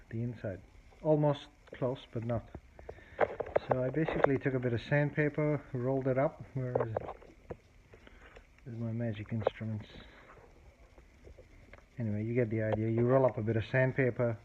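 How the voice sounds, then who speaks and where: A man casually demonstrating a tape recorder repair at his workbench, explaining step by step in a halting way, with many fillers and restarts. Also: mid-range voice. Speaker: hobbyist repairer. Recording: dull, lo-fi audio with clear background noise and clicks.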